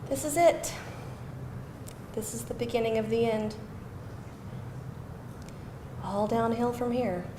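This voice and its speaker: A woman playing an exasperated, sharp-tongued girlfriend in a live screenplay table read, with emphatic, sarcastic delivery. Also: fairly high pitch, takes it slowly, long pauses. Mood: softened, resigned. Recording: echoey room, faint noise, live reading.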